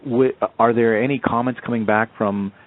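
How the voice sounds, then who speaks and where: A man's voice: medium pitch, fairly even intonation, moderate pace. A man asking questions as an analyst on a conference call, speaking hesitantly and circling back to rephrase, over a muffled phone line.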